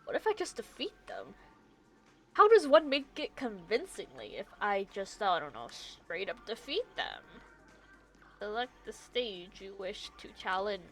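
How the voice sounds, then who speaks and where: A woman's voice: mid-range voice, wildly swooping pitch, a little slow. A woman live-streaming a video game with casual, wry, playful commentary and reactions. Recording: faint game music behind. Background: video game music.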